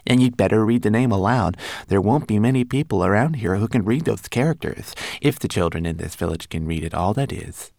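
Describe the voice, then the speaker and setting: A man's voice: brisk, gravelly, darkish tone, no room sound, studio quality. A man voicing a tiny, fussy male homunculus servant who is proud and learned, with precise, formal speech. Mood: knowing. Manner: high, precise, eager and formal.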